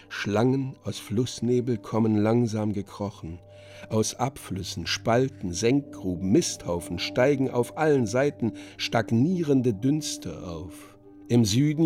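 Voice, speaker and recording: Bedächtiger männlicher Hörspielerzähler, langsam lesend. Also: male voice, mid-range voice, warm tone. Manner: measured reading of stage directions. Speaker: narrator. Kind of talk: scripted literary narration in radio drama.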